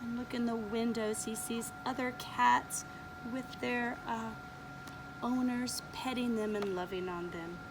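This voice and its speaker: A preschool teacher reading a picture book aloud to young children, slowly and expressively, pointing out the pictures. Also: female voice, on the high side, background noise. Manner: slow, expressive read-aloud, showing pictures.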